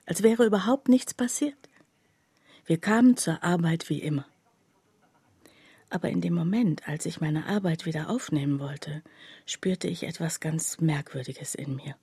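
An actress playing a troubled factory worker, telling her story in a soft, husky, halting and confiding radio drama monologue. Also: low voice, no echo, exaggerated voice. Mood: subdued, confiding. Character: troubled female factory worker recounting her life, bewildered, plainspoken.

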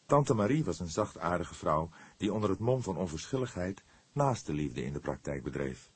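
A male narrator reads crime fiction for an audiobook. His voice is low and husky, recorded dry and close, with a storytelling delivery. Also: no echo. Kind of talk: scripted narration of a crime novel.

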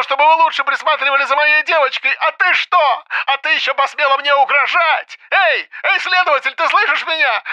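An actress playing a hysterical, accusing mother in an audiobook, shrill and agitated, heard as if over a phone. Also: sharp tone, no echo, dull audio. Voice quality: shrill, shrieking.

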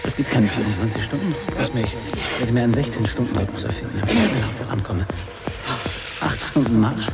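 An actor playing a solitary, weary but determined male polar explorer who muses in halting fragments in a radio play. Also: mid-range voice, lively intonation, loud music behind the voice, close to an everyday voice, low-quality recording. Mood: weary, resolute. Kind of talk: dramatic radio play monologue.

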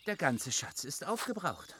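An actor playing a reluctant trickster god in a German fantasy radio play, protesting and incredulous, in a noisy scene of sound effects. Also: male voice, a little high, big pitch swings, a little slow, pushed and bright, husky, heavily acted.

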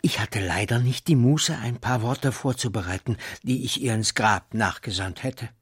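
An actor playing a self-important, pompous village pharmacist in a radio play. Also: male voice, medium pitch, moderate pace, husky, lightly acted.

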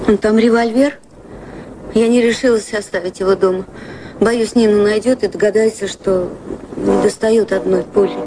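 A woman's voice: mid-range voice, steady tone, normal speed, warm tone, slight background noise, lightly acted, poor audio. An actress playing an earnest, confiding, guilt-ridden woman of good society in a Russian radio drama. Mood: troubled, confiding. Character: troubled well-bred woman, guilt-ridden, engaged to be married.

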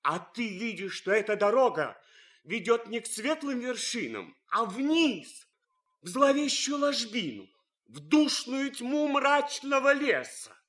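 An actor playing an anguished, idealistic soldier torn by conscience in a radio drama, theatrical and swinging from hushed to fervent. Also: male voice, very high voice, big pitch swings, slow pace, exaggerated voice. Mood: anguished, pleading. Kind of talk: radio drama acting, impassioned monologue.

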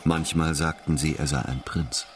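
An actor playing a reflective narrator of a philosophical radio drama, thoughtful and explanatory. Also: male voice, extremely low pitch, steady tone, normal speed. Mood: storytelling. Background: music.

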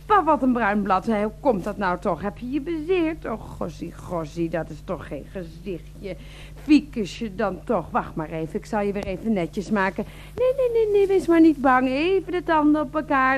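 A radio-drama housewife who fusses over her plants in coaxing, chatty baby talk. Her voice is on the high side and very animated in pitch, with faint noise behind it. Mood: doting, playful, then tender, soothing. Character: doting housewife cooing to her houseplants like babies. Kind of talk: radio play acting.

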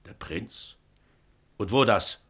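A man's voice: on the low side, animated, slow, a bit bright, husky, exaggerated voice. An actor playing a stern, suspicious middle-aged father, proud and declamatory, in a classical radio drama. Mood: indignant. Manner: declamatory, severe. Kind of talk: classical stage-style radio drama acting.

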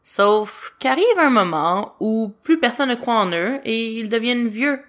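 A woman narrating a story as an audiobook reader, with expressive storytelling delivery. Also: fairly high pitch, a little slow. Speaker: audiobook reader of fan fiction.

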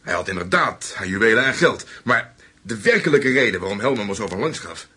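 A man's voice: fairly low pitch, animated, a little fast, bright, forceful tone, husky, heavily acted. An actor in a Dutch radio play voicing a former minor SS man, a loyal, chatty but wary Nazi. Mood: relishing the tale.

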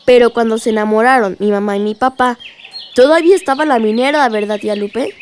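A woman plays an eager little Mexican niece in a radio drama. Her voice is very high, and she speaks with candid, inquisitive curiosity. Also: fairly quick, natural voice. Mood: curious.

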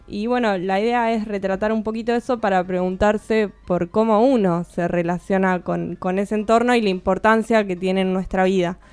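A young woman from Bariloche, a guest author explaining her book in a relaxed, thoughtful radio chat. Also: medium pitch, clean tone, no room sound. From Bariloche, Argentina. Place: radio studio.

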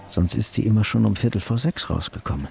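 An actor playing a brooding, calculating hatmaker and secret killer, muttering to himself in a radio play. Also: male voice, on the low side, lively intonation, fast talker, creaky, a little mellow. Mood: tense waiting. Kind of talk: radio play inner monologue.

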